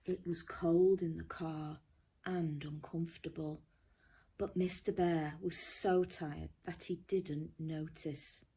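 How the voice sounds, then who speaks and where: A woman reads a storybook to young children at a slow, careful pace. Her voice is slightly husky, and she often sinks to a hushed near-whisper. She is expressive and pauses to put questions to her listeners like a teacher. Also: low voice. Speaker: primary school teacher reading a storybook.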